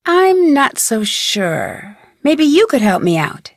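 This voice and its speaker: A woman's voice: on the high side, big pitch swings, takes it slowly, dry recording, clean studio sound, full character voice. An actress playing an inquisitive, plucky young female detective in a video game, earnest and questioning. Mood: friendly, casual. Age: young adult.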